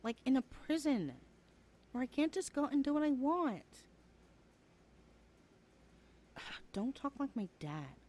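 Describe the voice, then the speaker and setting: A woman's voice: high-pitched, sing-song, moderate pace, mellow. A woman playing a sassy, blunt twenty-one-year-old best friend in a casual, slangy audio roleplay, often sighing. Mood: annoyed, defiant. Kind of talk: conversational ASMR roleplay acting.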